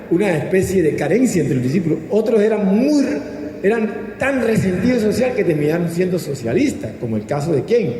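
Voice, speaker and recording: A man's voice: high voice, mellow. A man with a Colombian accent preaching a sermon as a pastor, teaching with rhetorical questions and asking listeners to repeat after him. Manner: didactic, prompting listeners to repeat and answer. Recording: echoey hall with long reverb, background noise.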